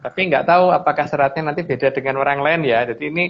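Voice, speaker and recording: A man's voice: on the high side, quick pace. A man with a Javanese accent explaining things casually and hesitantly, often qualifying what he says. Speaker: regular guest speaker explaining lore. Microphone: online video call. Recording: dull, muffled call audio.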